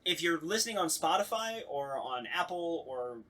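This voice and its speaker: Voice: mid-range voice, lively intonation, moderate pace, pushed and bright. A podcast co-host chatting casually, with a joking, mock-serious way of talking.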